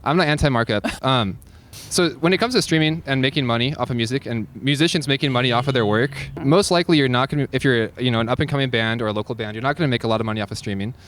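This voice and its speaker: A man's voice: medium pitch, rapid-fire, a bit bright, a little hiss or hum. A man chatting casually in an interview, earnest and informal, thinking aloud as he goes. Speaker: cassette label owner and musician, interview guest.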